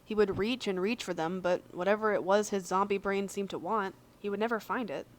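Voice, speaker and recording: A woman narrates a piece of fiction in a middle-pitched voice. Her reading is expressive and scripted, yet it sounds conversational and a little wry. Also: fairly quick. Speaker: fan fiction reader.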